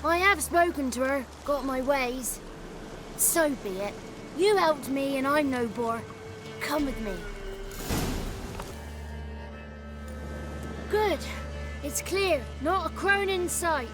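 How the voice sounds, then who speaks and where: A woman's voice: high-pitched, expressive, unhurried, over music. A woman voicing a cheeky, childlike male swamp creature in a video game, talkative and theatrical, with boastful, florid wordplay. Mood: chatty. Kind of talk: video game character acting.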